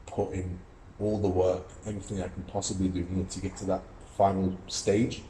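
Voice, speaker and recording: A man's voice: low voice, flat delivery, brisk, dark tone, echoey room, slight background noise, low-quality recording. A young man from Russia, an amateur boxer and student, giving earnest, reflective interview answers with many fillers and restarts. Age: young adult.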